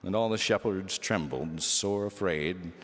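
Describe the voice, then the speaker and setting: A man reading a humorous children's story aloud expressively, with dramatic pauses and emphasis. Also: low-pitched, in a large hall, heavy background noise.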